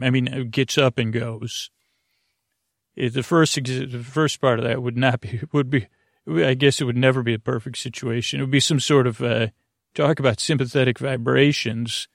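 A man hosting a sleep podcast with a rambling bedtime monologue, halting, interrupting himself and trailing off into digressions. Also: mid-range voice, gravelly, no room sound, studio quality.

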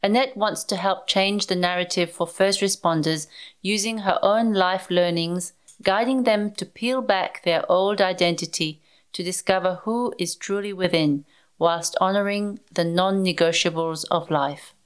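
A woman's voice: on the low side, steady tone, measured pace, clear, resonant tone. A female podcast co-host reading upbeat introductions and asking encouraging, enthusiastic questions. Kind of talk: scripted intro, then conversational interviewing.

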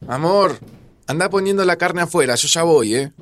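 A man's voice: fairly high pitch, lively intonation, normal speed, close to an everyday voice. An actor with an Argentine accent playing an affectionate father who speaks in a casual, tender, reassuring way. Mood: warm. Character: affectionate father in his home.

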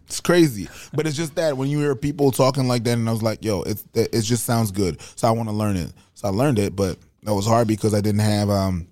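A man's voice: fairly low pitch, steady tone, fairly quick, few pauses, a little mellow, no echo. A man chatting casually and comedically in a slangy way, swearing freely and acting out bits of dialogue as he tells stories. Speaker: podcast speaker. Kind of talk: casual comedic storytelling chat.

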